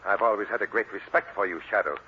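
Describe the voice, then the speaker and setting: An actor playing a fussy, indignant professor with a husky voice and stammering manner, in old radio drama style. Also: male voice, lowish voice, quick pace, muffled recording. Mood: alarmed, indignant. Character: flustered, indignant scholarly male professor. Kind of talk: 1940s radio acting.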